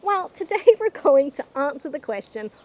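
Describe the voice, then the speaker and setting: A middle-aged woman from New Zealand speaks with her local accent in a fairly high voice. She hosts a casual explanatory vlog, sounding chatty and down-to-earth, and gives her opinions plainly. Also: sing-song, normal speed. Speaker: video host, chicken keeper.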